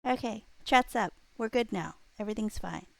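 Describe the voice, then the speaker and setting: A woman's voice: mid-range voice, moderate pace, gravelly. A woman hosting a livestream in casual chat, thinking aloud in a scattered, playful way. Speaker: live streamer host.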